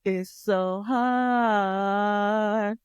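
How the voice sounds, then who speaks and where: Smooth-voiced American woman chatting playfully. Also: medium pitch, extremely slow, no echo, highs cut off. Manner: playful, slangy, expressive. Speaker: podcast co-host and content creator. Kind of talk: casual girl-talk chat.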